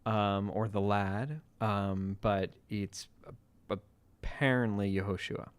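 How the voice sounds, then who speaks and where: A man's voice: on the low side, fairly even intonation, slow, clear, resonant tone, dry recording. A male podcast host giving wry, joking commentary, laughing often. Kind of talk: casual comedic commentary.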